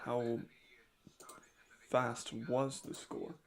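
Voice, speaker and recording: A man hosting a watch-along, giving casual live commentary with a raspy voice, chatty and laughing often. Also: medium pitch, monotone, extremely slow, in a whisper. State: hay fever.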